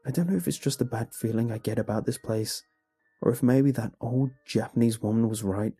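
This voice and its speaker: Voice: medium pitch, a little fast, mellow, husky, dry recording, faint music behind. A man playing a rattled, fed-up father in a narrated horror story. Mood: uneasy.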